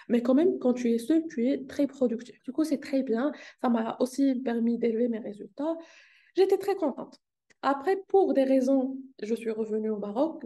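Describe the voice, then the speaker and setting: Warm-voiced woman vlogging. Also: a little high. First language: Arabic. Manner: earnest, upbeat, repeats phrases for emphasis. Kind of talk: casual motivational vlog talk. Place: hotel meeting room.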